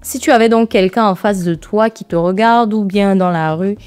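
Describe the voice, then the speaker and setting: A woman's voice: mid-range voice, moderate pace, darkish tone, smooth, faint music behind. A woman hosting an interview in an informal, conversational way, interjecting often. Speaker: interview show host.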